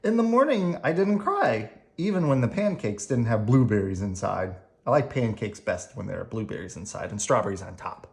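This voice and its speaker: A storyteller reading a children's picture book aloud in a gentle bedtime manner, adding spoken asides along the way. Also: mid-range voice, animated, moderate pace, creaky, few pauses. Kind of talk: expressive read-aloud with ad-libbed asides.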